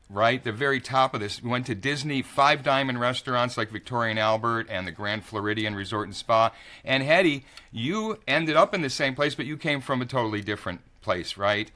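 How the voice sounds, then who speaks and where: An upbeat man hosts a conversational interview, his voice bright and ringing at an ordinary mid pitch. He leads in by reading his guests' introductions.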